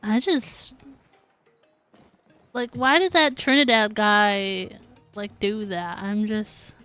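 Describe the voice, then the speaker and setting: A woman's voice: mid-range voice, slow pace, fairly bright tone, clear, resonant tone, no echo, soft background music. A woman game streamer giving casual live commentary in an informal, amused way.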